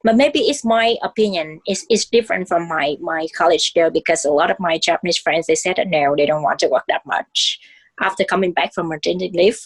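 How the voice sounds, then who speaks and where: A woman's voice: fairly low pitch, fairly quick, rough recording. A woman host from Vietnam with a Vietnamese accent, speaking candidly and hesitantly in casual conversation, with many fillers and repeats.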